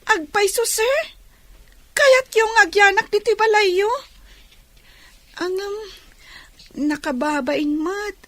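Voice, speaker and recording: A woman's voice: very high-pitched, slow, pauses often, fairly bright tone, full character voice. A woman playing a poor blind orphan girl, humble and grateful, in an audio drama. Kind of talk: Filipino audio drama acting. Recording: music under the voice.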